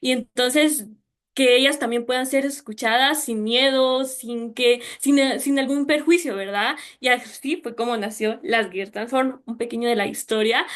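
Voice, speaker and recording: A woman's voice: on the high side, unhurried, a bit bright. A young woman with a Guatemalan accent, giving a personal presentation as a youth project founder.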